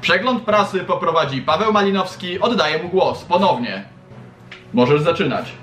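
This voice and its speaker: A man's voice: a little high, expressive, moderate pace, fairly bright tone. A young man presenting a playful, informal toy review show in an enthusiastic, slangy way. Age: young adult. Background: background music.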